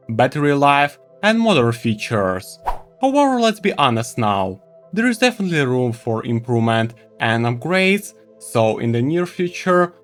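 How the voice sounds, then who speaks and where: A man with a medium-pitched voice hosts a product review in non-native English. He narrates from loose scripting with expressive, enthusiastic phrasing. Soft music plays behind his voice. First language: not English. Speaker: tech reviewer and video host.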